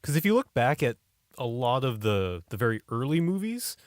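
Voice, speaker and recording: A man's voice: mid-range voice, very animated, normal speed, no echo, clean studio sound. A man co-hosting a podcast in casual discussion, questioning and debating his points. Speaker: podcast co-host.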